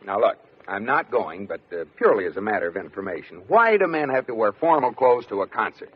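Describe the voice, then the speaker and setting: An actor playing a stubborn, exasperated American husband, sputtering in a vintage radio sitcom. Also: male voice, on the low side, very animated, a little fast, slightly rough. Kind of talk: 1940s-50s radio sitcom acting. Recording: muffled, noisy radio recording, live audience.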